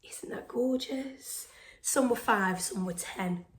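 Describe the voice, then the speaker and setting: A woman with a hoarse, failing voice hosts a casual show-and-tell vlog, chatting excitedly with lots of ums and phrases that drop into a breathy whisper. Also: fairly high pitch, slow, a little hiss or hum. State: hoarse, losing her voice. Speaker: stitching vlog host.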